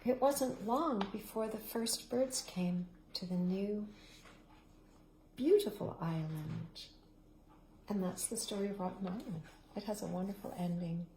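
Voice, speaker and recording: Expressive woman reading a storybook aloud. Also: mid-range voice, a little slow, a little hiss or hum. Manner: expressive, dramatic rises and hushed, whispered endings. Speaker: storybook reader. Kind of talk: reading a picture book aloud. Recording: roomy echo.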